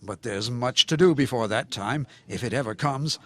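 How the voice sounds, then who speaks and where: An actor playing a pompous crackpot creationist in an audio comedy, speaking in a pedantic, formal, grandiose manner as an interview guest. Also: male voice, mid-range voice, normal speed, no echo, lightly acted. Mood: grandiose, fervent. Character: pompous male crackpot creationist with messianic self-belief. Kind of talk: audio comedy drama, mock radio interview.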